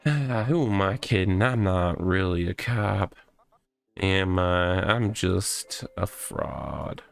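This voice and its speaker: A man's voice: sits low, expressive, slow, vocal fry, soft background music, exaggerated voice. A man voicing a pompous, delusional self-styled cop in a visual novel, with a theatrical, wordy, rambling delivery. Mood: confessing. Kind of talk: visual novel character reading.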